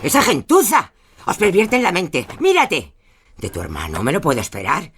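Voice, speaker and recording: A woman's voice: fairly low pitch, wildly swooping pitch, normal speed, slight background noise. An actress playing a domineering, fanatically religious farm mother who scolds and commands in an audio drama. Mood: disgusted, accusing. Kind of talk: Spanish audio drama acting.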